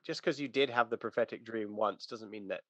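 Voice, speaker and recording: A man's voice: lowish voice, fairly quick, fairly bright tone, no room sound. A man talking through religious ideas in a casual, conversational but emphatic teaching manner.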